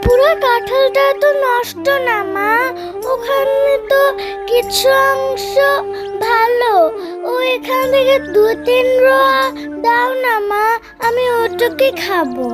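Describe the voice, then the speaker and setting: A sweet little animal girl from a children's cartoon. Her voice is squeakily high and pitched up, with a childlike, wheedling manner, over background music. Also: slow. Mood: eager, pleading. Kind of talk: children's cartoon story acting.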